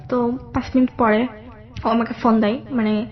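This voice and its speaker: A young woman with a Bangladeshi accent telling a personal story conversationally, haltingly, often circling back over details. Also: fairly high pitch, flat delivery, dull audio. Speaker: guest telling her life story.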